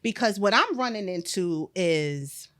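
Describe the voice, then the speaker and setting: A woman in her late forties hosting a podcast in casual chat, blunt and animated, acting out quotes as she tells stories. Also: mid-range voice, measured pace. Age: 40s. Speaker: podcast host.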